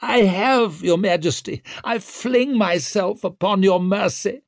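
Shrill, whining, desperate nobleman. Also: a little slow, vocal fry, no echo, studio quality. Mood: pleading, indignant. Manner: wheedling, melodramatic, indignant. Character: whining, desperate, self-pitying accused nobleman, blustering and shrill.

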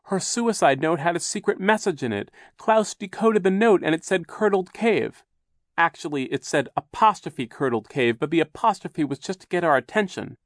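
Man voicing an urgent young girl, high-pitched. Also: expressive, moderate pace, no room sound, clean studio sound. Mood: urgent explaining. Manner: urgent, insistent pleading. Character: earnest, desperate young girl, pleading orphan.

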